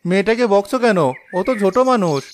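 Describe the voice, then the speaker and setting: Man playing a high-voiced, placating henpecked husband. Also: quick pace, a little hiss or hum, close to an everyday voice. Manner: placating, mild, apologetic. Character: patient, henpecked village husband and gentle father. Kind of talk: Bengali cartoon drama acting.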